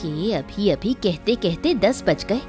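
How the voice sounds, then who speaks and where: Woman playing a scolding, domineering daughter-in-law, animated. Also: mid-range voice, slow, music in the background. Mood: scornful. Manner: scolding, taunting. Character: cruel, domineering young daughter-in-law, scornful and bossy. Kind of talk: Hindi audio story acting.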